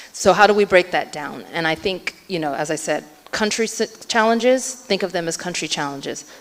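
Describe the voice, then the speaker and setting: Keynote speaker, woman with a low voice, earnest, filler-heavy delivery, in a hall with long reverb. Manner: earnest, hedging with frequent fillers. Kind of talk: conference panel answer. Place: conference hall.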